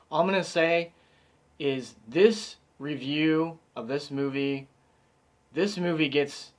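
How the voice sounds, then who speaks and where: A man giving a casual, rambling movie review, excited and slightly hoarse from talking so much. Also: medium pitch. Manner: enthusiastic, rambling with many fillers. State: slightly hoarse, losing his voice.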